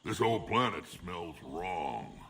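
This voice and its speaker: An actor playing a huge, proud, battle-hardened alien warrior chieftain in a video game, with a gravelly rumble and blunt, sardonic delivery. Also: male voice, sing-song, slow, a bit bright, distant mic, exaggerated voice. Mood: wary, growling.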